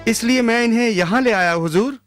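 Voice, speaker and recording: A man plays an authoritative royal official in a cartoon moral tale. The voice is very high for a man, with music clearly behind it. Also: fairly quick. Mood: commanding. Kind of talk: animated moral story acting in Hindi.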